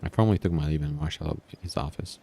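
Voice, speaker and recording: Male streamer, deep voice, tired and strained, storytelling with offhand asides, soft music behind. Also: fast talker, creaky. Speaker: streamer reading a visual novel aloud. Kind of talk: narrating a visual novel with casual asides. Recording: background music.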